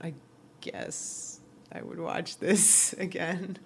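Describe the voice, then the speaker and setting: A woman's voice: lowish voice, little pitch movement, drawn-out words. Offhand woman podcaster with background noise. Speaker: podcast co-host. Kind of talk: casual TV recap chat.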